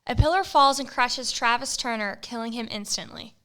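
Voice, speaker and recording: A woman's voice: fairly high pitch, normal speed, heavy background noise. A woman narrating an amateur comedy audio drama in a matter-of-fact way.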